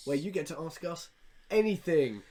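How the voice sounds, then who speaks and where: A man's voice: on the high side, lively intonation, moderate pace, mellow. A man co-hosting a podcast with casual, jokey banter. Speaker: podcast co-host.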